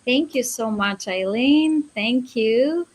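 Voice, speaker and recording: A woman's voice: a little high, animated, slow pace. A woman hosting a livestream informally, responding to speakers and introducing the next one. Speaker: host.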